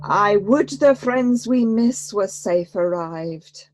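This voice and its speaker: A woman's voice: mid-range voice, animated, slow, no echo, soft background music. A woman playing a young noble prince and army leader in a Shakespeare radio play, with formal commanding verse. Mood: concerned.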